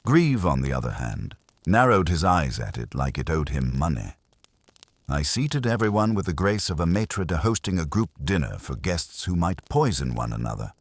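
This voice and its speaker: A man's voice: extremely low pitch, sing-song, normal speed, no echo. A man narrating a detective story in the first person, wry and dry-witted in a hard-boiled style.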